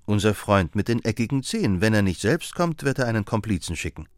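A self-assured detective lays out his reasoning step by step in a lowish, even voice as a man reads him. Brisk and certain throughout. The sound is dry and close. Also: dry recording. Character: sharp-witted male detective, confident and explaining.

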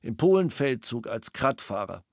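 An ex-soldier's statement, plain flat delivery, dry studio mic. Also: male voice, medium pitch, moderate pace, vocal fry, dry recording. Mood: flat, factual. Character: former soldier and gunsmith giving a witness statement. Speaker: reader of interrogation protocols. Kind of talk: sober read-out statement.

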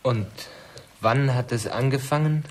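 An actor playing a polite, educated, shaken visitor in a radio play, hesitant and earnest. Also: male voice, mid-range voice, measured pace, natural voice. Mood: shaken, subdued. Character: shaken, polite, educated male guest of the doctor. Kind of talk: 1970s German radio drama acting. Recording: noisy old radio play recording.

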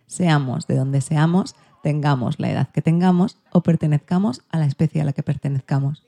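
Woman podcast host, very mellow soft-edged voice. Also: low voice, normal speed, creaky. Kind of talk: scripted podcast presentation.

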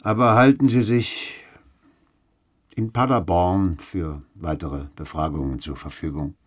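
A man's voice: deep voice, steady tone, measured pace. An actor in a radio play as an exasperated male police interrogator, brusque, weary and official.

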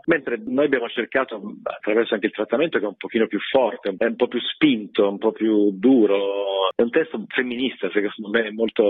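Tinny phone-line man, husky and low. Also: no echo. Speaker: theatre director being interviewed. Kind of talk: conversational radio interview.

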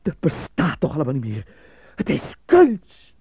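A man playing an anxious, apolitical stage actor in a Dutch radio drama, with a theatrical manner that turns halting under nerves. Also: a little high, wildly swooping pitch, full character voice. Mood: agitated, pleading. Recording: dull lo-fi radio drama recording.

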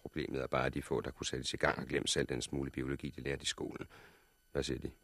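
An actor in a 1960s radio drama playing a confident, courteous helicopter pilot who explains things matter-of-factly as a messenger from a survivors' colony. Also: male voice, very deep voice, monotone, fairly bright tone, dry recording, full character voice. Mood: courteous, businesslike.

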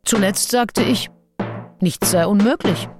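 A woman's voice: medium pitch, slow pace, close to an everyday voice. An actress playing a passionate, self-questioning Englishwoman of the early 1800s who confides her diary in an intimate, introspective way in a radio play. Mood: flirtatious, self-doubting. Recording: music behind the voice. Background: music with drums.

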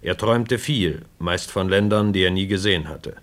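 A man narrating a radio play from a script in a measured, matter-of-fact way. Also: low-pitched, fairly bright tone.

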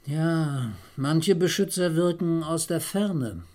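An actor playing an eager police assistant in a radio crime drama, reporting in a dry, matter-of-fact, deferential way. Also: male voice, fairly high pitch, slow pace, a little mellow. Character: eager, dryly witty male police assistant detective.

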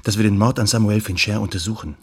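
An actor playing a persistent investigator in a radio drama, calm and insistent, with a husky voice. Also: male voice, lowish voice, fast talker. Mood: calm, persuasive. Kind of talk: German radio drama acting.

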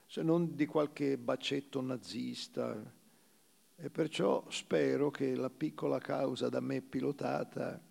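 An actor voicing a reflective, dryly ironic camp survivor in a measured, understated literary stage reading. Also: male voice, medium pitch, creaky, a little mellow, heavily acted. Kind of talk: dramatic literary stage reading, first-person narration. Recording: long hall reverb of a theatre.

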